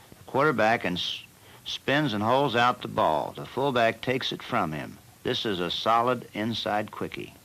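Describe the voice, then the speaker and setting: A man narrating a football training film as the team's coach, in a measured and plain instructional manner. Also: on the low side, sharp tone, rough recording.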